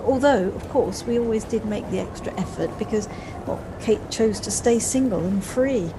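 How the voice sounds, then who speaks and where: A woman's voice: medium pitch, moderate pace, close to an everyday voice. A woman acting a flustered, wistful middle-aged woman in an audio play, with hesitant, reflective speech that repeats words. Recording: noisy home recording on personal device.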